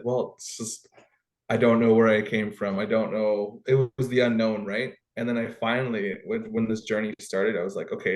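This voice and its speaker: A man from Guatemala, a football coach, talking in an interview in a humble, conversational way with many pauses and restarts. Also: fairly low pitch. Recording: roomy echo.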